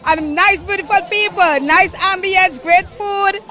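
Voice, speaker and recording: An effusive woman from Florida talks at a party. Her voice sits high. Faint music plays behind her. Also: a little slow, pushed and bright, some chatter behind. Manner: gushing, enthusiastic. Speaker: event guest.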